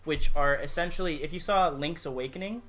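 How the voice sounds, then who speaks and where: A man with a very bright, forward voice pitched a bit high. He chats casually as a podcast co-host, opinionated and quick to swear. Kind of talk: casual gaming podcast chat.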